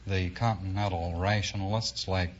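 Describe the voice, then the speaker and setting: Low-voiced, dry, erudite American man. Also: fairly even intonation, moderate pace. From Oregon, United States. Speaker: English professor, quiz contestant. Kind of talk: formal interview answers. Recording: dull old radio recording, faint noise.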